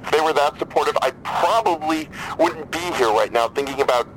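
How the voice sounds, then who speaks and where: A man playing a grieving, despairing father calling a crisis line in an audio drama, halting, weary and bitter. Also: a little high, fairly bright tone, a little hiss or hum, natural voice, rough recording. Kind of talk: naturalistic audio drama acting.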